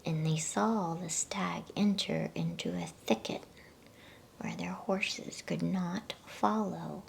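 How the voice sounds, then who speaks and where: A woman reading a storybook in a soft, breathy ASMR whisper, with a soothing, unhurried manner and casual delivery. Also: fairly low pitch, very animated, slight background noise. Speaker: ASMR bedtime story reader.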